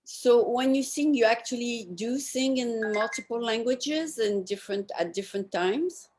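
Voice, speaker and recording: A woman's voice: on the high side, moderate pace, darkish tone. A woman speaking as the host of a casual, unscripted interview.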